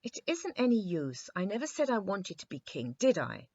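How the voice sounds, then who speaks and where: A woman's voice: mid-range voice, sing-song, normal speed, darkish tone, highs cut off, voice pushed up. A woman voicing a petulant, haughty little boy king in a children's storybook reading. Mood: cross. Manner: cross, haughty, complaining.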